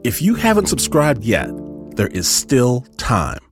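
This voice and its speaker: Guy hosting a podcast, showy rhythmic delivery, mid pitch, hip hop beat loud behind him. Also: wildly swooping pitch. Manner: theatrical, rhythmic, drawn-out phrasing. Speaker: podcast host. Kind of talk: showman-like radio announcing over music. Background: hip hop music.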